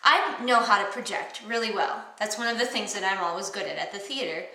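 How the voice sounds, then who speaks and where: A young woman with a nasal Wisconsin accent teaching acting, enunciating clearly and animatedly, recorded on a camera mic in an echoey room. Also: medium pitch, fairly quick, bright, forceful tone. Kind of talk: enthusiastic how-to vlog. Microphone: camera mic across the room. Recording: roomy echo from far camera.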